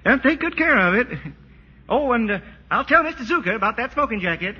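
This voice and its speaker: High, husky country tradesman. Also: expressive, brisk, exaggerated voice. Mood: sheepish. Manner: hesitant, folksy. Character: folksy, laconic country tradesman, honest and polite. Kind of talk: 1940s radio acting. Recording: reverberant, dull old radio broadcast.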